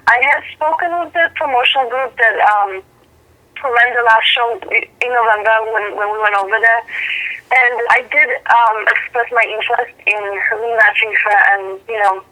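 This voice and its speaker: A woman in her thirties, originally from Poland, answering interview questions as a boxer, thoughtful and full of "um" pauses. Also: on the high side, pushed and bright, rough recording. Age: 30s. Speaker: professional boxer and guest.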